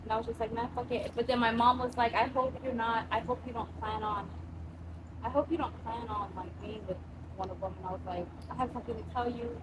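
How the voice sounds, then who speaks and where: A young woman from Samoa tells her story in an animated, casual way, swears freely and acts out the voices of others. Her voice sits a little high. Steady noise hangs behind her in the room. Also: fast talker. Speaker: guest.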